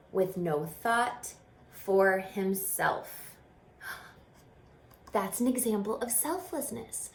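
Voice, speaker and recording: Expressive woman reading to children, roomy sound. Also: on the high side. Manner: expressive, explaining, asks listeners questions. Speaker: story reader and teacher. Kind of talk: children's read-aloud with explanatory asides. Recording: roomy, echoing room sound.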